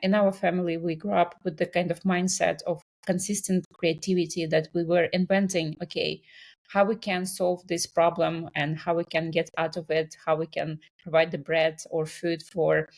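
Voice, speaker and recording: Ukrainian-accented woman, low voice. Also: little pitch movement, moderate pace. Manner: non-native English with grammar slips, many fillers. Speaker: podcast guest. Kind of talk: casual storytelling interview.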